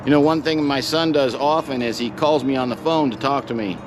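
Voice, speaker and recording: Middle-aged male vlogger, mid-range voice, reflective and plain-spoken personal storytelling over clearly audible music. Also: mid-range voice, fairly quick.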